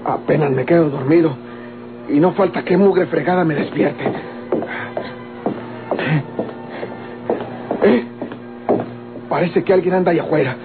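Man acting a gruff, high-pitched Mexican hothead. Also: close to an everyday voice. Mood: uneasy, menacing. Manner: gruff, threatening, insulting, rustic speech. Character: paranoid, violent, ill-tempered man with a pistol. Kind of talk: golden-age Mexican radionovela acting. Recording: old tinny radio recording with music.

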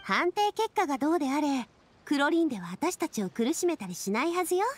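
High, lively, spirited young woman. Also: a little fast, a little hiss or hum. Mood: reassuring, eager. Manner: expressive, breezy, encouraging. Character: spirited, confident, sociable young woman adventurer. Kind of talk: anime video game acting. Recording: music under the voice.

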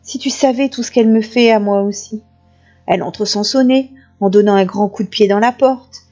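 A woman's voice: medium pitch, fairly even intonation, normal speed, mellow, dull audio, faint music behind, natural voice. A woman voicing a kindly, sly little old grandmother with a quavering voice in a children's story. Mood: weary.

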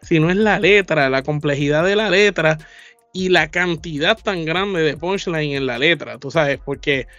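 A man's voice: fairly high pitch, unhurried, bright, forceful tone, no echo. A man with a Puerto Rican accent giving emphatic opinions in a casual podcast chat, piling up repeated words. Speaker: podcast co-host. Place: indoor room, remote video call.

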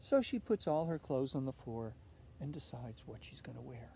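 An older man reading a picture book aloud outdoors in an expressive way, putting questions to young listeners. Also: mid-range voice, normal speed, gravelly. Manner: expressive, asks tag questions. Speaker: storybook reader. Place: outdoors in a leafy fenced yard. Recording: outdoor recording with faint ambient noise.

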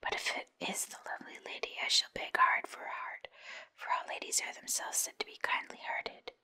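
A woman's voice: moderate pace, pushed and bright. A woman voicing an earnest, polite tin man from a storybook in a formal, pleading whisper.

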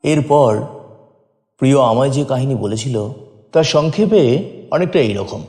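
A man's voice: mid-range voice, lively intonation, measured pace, darkish tone. A man narrating a first-person audio story in a dramatic, scripted storytelling style. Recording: roomy, long reverb.

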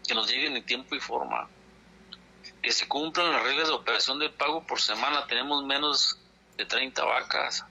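A man's voice: mid-range voice, moderate pace, gravelly, bright, forceful tone, breathy edge. A man from Chihuahua, a dairy farmers' leader, speaking in a phone interview in an indignant, insistent way, repeating his points for emphasis. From Chihuahua, Mexico. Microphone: telephone. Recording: phone line.

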